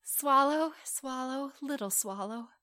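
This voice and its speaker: A woman plays a noble statue prince from a storybook. The voice is lifted high, earnest and sorrowful, pleading with compassion yet giving commands. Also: slow, pushed and bright, heavily acted. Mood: imploring. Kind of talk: storybook character voice.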